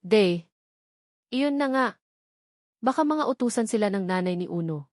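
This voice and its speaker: A woman's voice: medium pitch, expressive, unhurried, a little mellow, no echo, clean studio sound, close to an everyday voice. A woman voicing a gossipy, bossy but loyal best friend with slangy, urgent delivery in a story narration. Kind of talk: dramatized novel narration.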